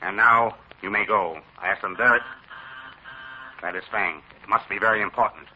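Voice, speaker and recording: A man's voice: lowish voice, lo-fi sound, loud music behind the voice, natural voice. An actor playing a cold, formal, scheming male villain leader in a 1940s radio drama. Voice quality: measured, formal, menacing.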